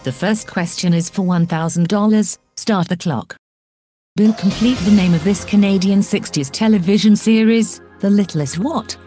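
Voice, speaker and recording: A woman voicing a stern female quiz-show host who reads the rules in a crisp, formal way. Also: mid-range voice, moderate pace, warm tone, soft background music, natural voice.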